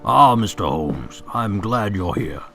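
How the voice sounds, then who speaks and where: An actor playing a polite but determined gentleman heir in an audio drama, courteous and firm. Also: fairly low pitch, animated, slow, creaky, faint music behind, heavily acted. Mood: aggrieved.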